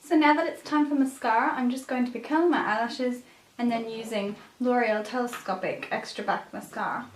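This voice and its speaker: A woman with a raspy voice, a bit sick with a cough, presenting a makeup tutorial as a beauty vlogger. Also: a little high, fairly quick. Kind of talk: scripted makeup tutorial narration. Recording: roomy echo, distant microphone.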